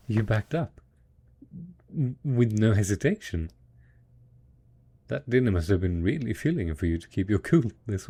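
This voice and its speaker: A man playing a devoted, patient husband in an intimate post-apocalyptic audio roleplay, coaxing slowly and hesitantly in a soft, husky voice. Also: on the low side. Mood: pleasantly surprised. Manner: slow, coaxing, hesitant, talking as if to a child. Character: devoted, patient husband tending zombie spouse after apocalypse.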